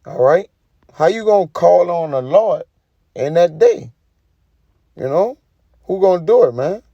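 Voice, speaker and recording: A man's voice: sits high, animated, unhurried, creaky, warm tone, slightly rough, no room sound, dull audio. A man giving an informal sermon, reading scripture and commenting in an emphatic, colloquial way with frequent tag questions. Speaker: preacher.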